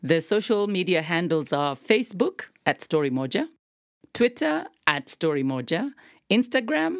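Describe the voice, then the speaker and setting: A woman with a low-pitched voice interviews a guest on a podcast. Her phrasing is measured and encouraging, and the sound is dry and close. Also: sing-song, vocal fry, dry recording.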